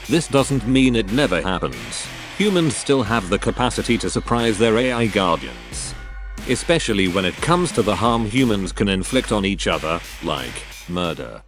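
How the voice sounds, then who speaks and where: A man delivers dramatic scripted science fiction narration. His voice is fairly low and moves expressively up and down. Music plays clearly beneath him. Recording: music under the voice.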